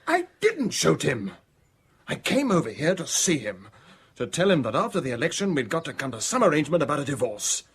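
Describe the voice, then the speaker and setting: A man's voice: a little high, huge pitch swings, brisk, a bit bright, a little hiss or hum, heavily acted. A British man playing an anxious, earnest lover of a murdered man's wife in a radio drama. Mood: agitated.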